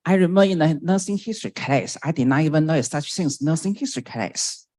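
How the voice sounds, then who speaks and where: Taiwanese woman in her sixties, halting. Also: low voice, animated, warm tone. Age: 60s. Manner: halting, searching for words, many restarts. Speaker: former doctoral student speaking at a memorial. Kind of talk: personal remembrance speech.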